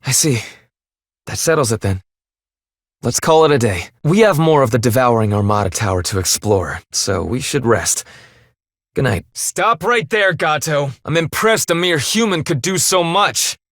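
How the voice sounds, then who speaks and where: An actor playing an earnest, remorseful, devoted husband in a dramatic video game, with formal, measured, solemn phrasing. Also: male voice, medium pitch, expressive, fairly bright tone, no echo, clean studio sound. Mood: calm, formal, then resolute.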